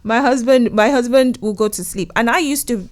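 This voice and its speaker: A woman's voice: a little high, brisk. A woman from England, a relationship consultant speaking in a casual interview, earnest and fond of telling stories and acting out what her husband says.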